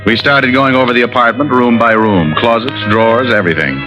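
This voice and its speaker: An actor playing a cool, sardonic private detective in an old radio noir drama, smooth-talking with wry deadpan quips. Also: male voice, medium pitch, brisk, natural voice. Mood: cool narration. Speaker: lead actor and first-person narrator. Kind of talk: 1940s-50s radio noir acting. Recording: dull vintage radio recording, music behind.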